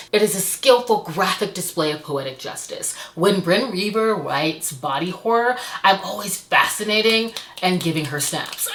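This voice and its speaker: Low-pitched woman chatting about books. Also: fairly bright tone, echoey room. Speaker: book reviewer vlogger. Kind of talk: casual book review chat to camera.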